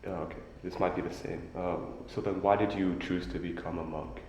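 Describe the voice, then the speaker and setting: Young student interviewer, deep-voiced man, casual and stumbling, in a roomy hall. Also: fairly even intonation, a little fast, a little mellow. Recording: roomy hall with faint noise.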